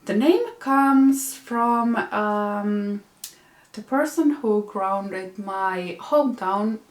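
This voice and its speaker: A woman's voice: medium pitch, very slow, reverberant. A young woman from Finland with a Finnish accent, hosting a knitting podcast and showing her projects in a hesitant, casual way with many fillers. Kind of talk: casual show-and-tell.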